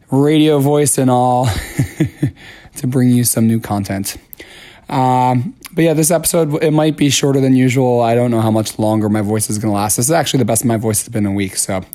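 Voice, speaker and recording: Hoarse man hosting a podcast. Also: medium pitch, darkish tone. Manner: candid, chatty, self-deprecating. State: hoarse, lost voice. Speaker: podcast host. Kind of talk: casual solo podcast talk.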